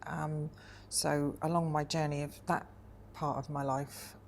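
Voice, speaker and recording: Woman, forties, from Wales, deep voice, gravelly, thoughtful and hesitant interview talk. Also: level tone, measured pace, slight background noise. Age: 40s. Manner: thoughtful, hesitant with frequent ums. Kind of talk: reflective personal interview.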